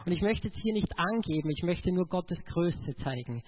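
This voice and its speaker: High-pitched Austrian man preaching, phone-like sound. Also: animated, moderate pace. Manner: emotional, emphatic, addresses listeners directly. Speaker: motivational speaker giving a testimony.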